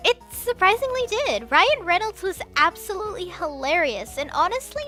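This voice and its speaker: Young woman, high bright voice, bouncy animated delivery, film review voice-over, soft music underneath. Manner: enthusiastic and expressive, with casual slang. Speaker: movie reviewer.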